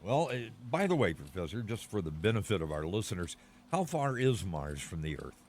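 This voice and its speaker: Man playing a low-voiced radio news commentator. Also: very animated, a little fast, darkish tone, a little hiss or hum. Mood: urgent, formal. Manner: formal, announcerly, polite. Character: male radio news commentator, eager and courteous. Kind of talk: 1930s radio drama, live news interview.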